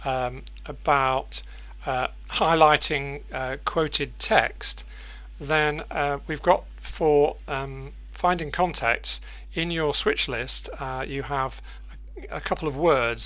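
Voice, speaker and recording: A man presenting an unscripted software tutorial, thinking aloud haltingly and repeating words as he goes. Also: medium pitch, fairly even intonation. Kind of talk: unscripted screen-share tutorial.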